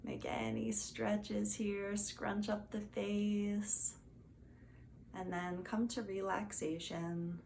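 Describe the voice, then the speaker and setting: A woman gently guiding a meditation as a teacher, in a soothing, soft and unhurried manner. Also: medium pitch, creaky. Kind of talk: guided meditation instruction. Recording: faint background noise.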